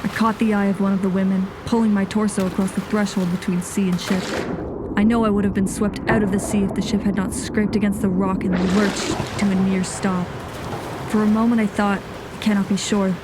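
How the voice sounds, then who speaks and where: A woman's voice: medium pitch, flat delivery, brisk, very noisy, natural voice. A woman playing a grave, haunted nineteenth-century sea captain reading a log in solemn, formal diction for an audio drama. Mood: alarmed. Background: music.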